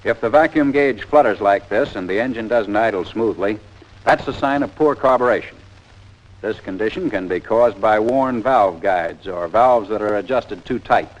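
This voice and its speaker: A man's voice: on the low side, moderate pace. An American man narrating a 1940s instructional training film in a plain-spoken, methodical, step-by-step way. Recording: dull, lo-fi 1940s film soundtrack.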